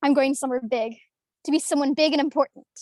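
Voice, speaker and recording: A woman's voice: sits high, steady tone, fast, a little mellow, no echo, clean studio sound, natural voice. A woman reading the part of a confident, ambitious, slightly boastful young girl at a script table read, brash and challenging. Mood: eager, probing.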